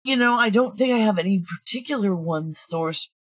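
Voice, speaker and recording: A woman's voice: mid-range voice, expressive, normal speed, slightly rough, no echo, muffled recording. A middle-aged woman giving casual, candid interview answers as an audio drama writer and producer. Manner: blunt, candid.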